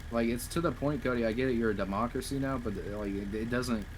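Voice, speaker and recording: A man's voice: mid-range voice, quick pace. A man in casual, argumentative game roleplay chat, insistently repeating his points and swearing. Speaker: roleplay gamer, faction leader. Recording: noisy game voice chat, vehicle sounds.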